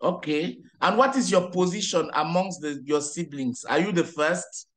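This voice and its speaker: A man's voice: a little high, moderate pace. A man tutoring English one-on-one online, patiently correcting a learner and explaining words in French.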